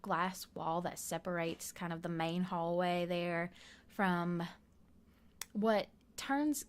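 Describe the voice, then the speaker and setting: A hoarse woman giving a casual, rambling voiceover for a building video from her bedroom at home, with lots of fillers and soft laughs. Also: on the low side, slow. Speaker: gaming video creator.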